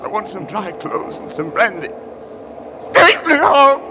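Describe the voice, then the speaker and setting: Give the part of a distraught man in a vintage radio thriller. His voice is high and swings wildly, overwrought and panicking. The old recording is clearly noisy. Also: big pitch swings, measured pace. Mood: desperate, pleading. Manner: overwrought, anguished. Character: distraught, panicking man caught in a deadly river tragedy. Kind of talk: 1940s radio acting.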